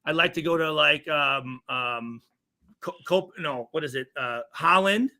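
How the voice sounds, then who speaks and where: Casting: high, bright-voiced man, loose rambling podcast banter, swears freely. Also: a little slow. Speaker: podcast co-host. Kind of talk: casual chat.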